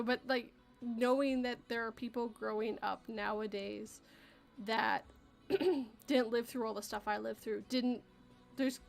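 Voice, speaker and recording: A woman's voice: on the high side, creaky. A Canadian woman in her thirties hosting a casual chat show, talking loosely and laughing as she reads out chat messages. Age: 30s. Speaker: podcast host reading chat.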